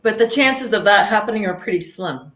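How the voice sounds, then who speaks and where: Blunt woman with a deep, loud voice. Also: expressive, a little fast. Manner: blunt, emphatic. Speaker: energy healer guest. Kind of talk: casual chat. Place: home room, indoors. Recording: echoey room.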